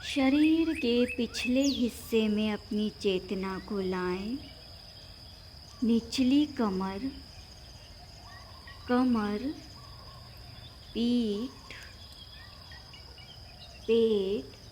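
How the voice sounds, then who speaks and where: Female meditation guide, higher-pitched, very unhurried delivery, short instructional phrases separated by long pauses. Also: darkish tone, a little hiss or hum. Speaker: yoga nidra instructor.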